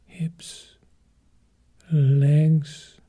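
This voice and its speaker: A man teaching a guided meditation in a soft, breathy, husky voice, calmly giving short, measured phrases with long pauses between them. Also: on the high side.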